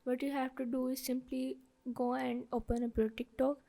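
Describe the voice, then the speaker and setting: High-pitched, mellow woman giving instructions. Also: flat delivery, moderate pace, creaky. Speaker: tutorial presenter. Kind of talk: step-by-step screen tutorial narration.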